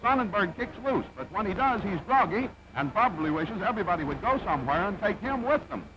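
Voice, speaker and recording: A man's voice: high voice, big pitch swings, fast talker. A man giving punchy, present-tense play-by-play sports commentary in an old newsreel style. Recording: dull, very noisy old film soundtrack.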